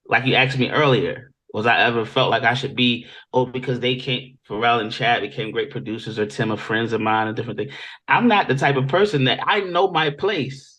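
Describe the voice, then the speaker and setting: A man's voice: mid-range voice, brisk, sharp tone. An American man from Virginia, speaking casually and conversationally as an interview guest telling stories. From Virginia Beach, Virginia, United States. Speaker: interview guest, music industry veteran.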